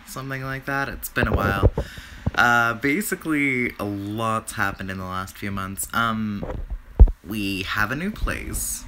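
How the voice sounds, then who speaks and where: A man whose voice is raspy from a recent flu, rambling through a casual video update with lots of fillers and restarts. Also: lowish voice, background noise. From Canada. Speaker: vlogger.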